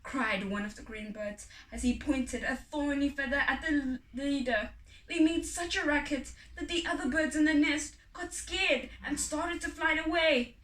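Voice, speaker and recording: A woman narrating a children's folk tale expressively, with deliberate, dramatic phrasing and pauses. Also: sits high, a bit bright. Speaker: storybook reader. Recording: echoey room, distant microphone.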